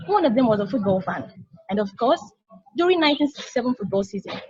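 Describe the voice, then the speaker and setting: Woman reading a high, husky, wry sister probe. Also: animated, quick pace, darkish tone, no room sound, dull audio. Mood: knowing, amused. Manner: casual, explanatory, wry. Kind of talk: amateur table read of a stage play.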